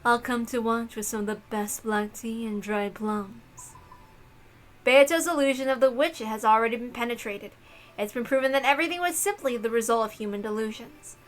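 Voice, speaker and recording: A woman's voice: a little high, pushed and bright. A woman voicing a cruel, mocking aristocratic witch lady with theatrical, taunting delivery in a visual novel read-aloud.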